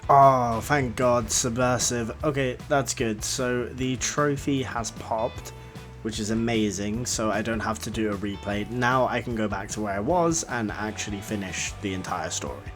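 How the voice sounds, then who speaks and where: A man narrating his gameplay in a chatty, self-deprecating way, his voice slightly croaky from being ill. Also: fairly low pitch, unhurried, music in the background. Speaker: gaming YouTuber.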